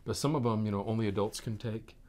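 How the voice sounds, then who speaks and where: Male, 40s, American, lowish voice, interview guest with an informal, emphatic conversational delivery. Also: fast talker, a little mellow. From United States. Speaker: interview guest, network marketing executive.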